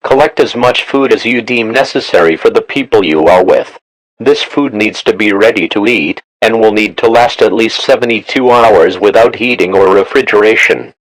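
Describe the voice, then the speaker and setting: A man's voice: fairly low pitch, moderate pace, dry recording, rough recording. A synthetic male voice reading a scripted emergency broadcast announcement in a formal, automated manner. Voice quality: synthetic text-to-speech voice. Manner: flat, formal, automated delivery.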